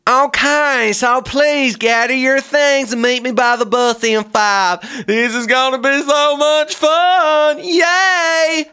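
A patient school teacher on a class trip, as a woman puts her on, in a game roleplay. The voice sits very high and sounds bright and smooth. She gives her instructions slowly, in a calm and orderly way. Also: heavily acted. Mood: cheerful.

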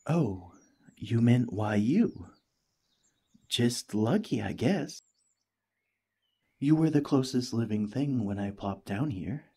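A man voicing a cheerful, friendly alien parasite in a gentle, chatty ASMR roleplay, eager and reassuring. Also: medium pitch, animated, slow pace, long pauses, breathy edge, exaggerated voice. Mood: cheerful, affectionate. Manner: gentle, chatty, playfully earnest.

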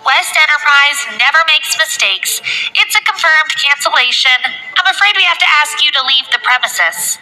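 Firm, formal male spokesman voiced by a woman, higher than expected, bright. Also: expressive, normal speed, faint music behind, heavily acted, rough recording. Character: firm, confident male company representative.

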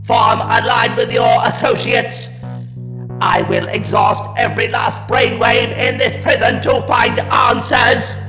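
An actor playing a ruthless alien war machine in a sci-fi audio drama, barking staccato commands through a robotic filter. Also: male voice, very high voice, flat delivery. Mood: commanding. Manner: staccato, drawn-out, commanding barks. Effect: harsh robotic ring-modulated filter. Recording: echoey, music behind.

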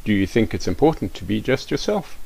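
A middle-aged man reading a children's storybook aloud, adding gentle questioning asides for young listeners. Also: on the low side, big pitch swings, a little fast, gravelly, slightly rough, low-quality recording. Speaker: children's author reading his book.

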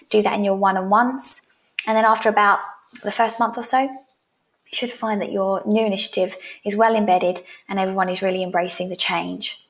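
A woman in her thirties presenting as a sales coach in an instructional style, direct and encouraging, speaking into a headset mic. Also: medium pitch. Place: small room at home, indoors.

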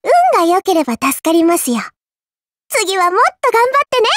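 Perky, very high-pitched smug little girl. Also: very animated, fairly quick, dry recording, studio quality. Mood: teasing, smug. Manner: perky, teasing, polite speech with cutesy exclamations. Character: cute mischievous young girl in a summer outfit, playfully smug. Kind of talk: Japanese anime mobile game voice lines.

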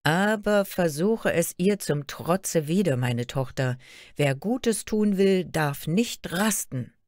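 A woman's voice: fairly low pitch, expressive, slow, a little mellow, dry recording, studio quality, pitched above the performer's own voice. A woman voicing a regal, motherly queen of fantasy, stately and soothing.

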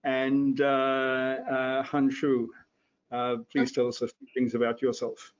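Older male moderator, slow and monotone, dull call audio. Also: medium pitch. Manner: halting, many fillers. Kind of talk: moderating a video panel.

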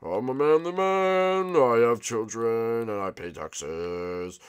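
A man voicing a childish boy who pretends to be a grown manly man, halting, formal and self-important. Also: medium pitch, clear, resonant tone, close to an everyday voice. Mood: pompous, nervous.

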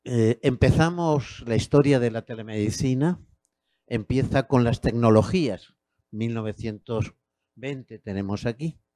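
A man in his sixties from Spain, with a Castilian Spanish accent, giving a measured academic lecture into a handheld mic in a university auditorium, with hesitant fillers. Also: medium pitch, dark tone, no echo. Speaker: guest lecturer.

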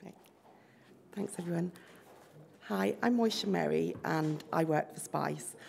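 A middle-aged woman with a croaky voice, opening a conference presentation at a desk mic. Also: on the low side, unhurried, darkish tone, slight background noise. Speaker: conference speaker. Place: conference room with a projector.

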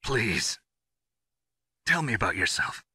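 A man playing a gruff, stoic captured soldier in a video game, terse and deadpan with a gravelly voice. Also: fairly low pitch, takes it slowly, no room sound, clean studio sound, exaggerated voice. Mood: weary. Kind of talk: dramatic video game acting over radio calls.

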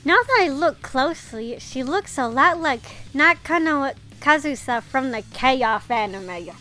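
A woman's voice: high voice, lively intonation, a little slow, sharp tone, soft background music, full character voice. A woman voicing a smitten, earnest young male student reporter and butler in a visual novel, politely scolding himself. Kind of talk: visual novel read-aloud.

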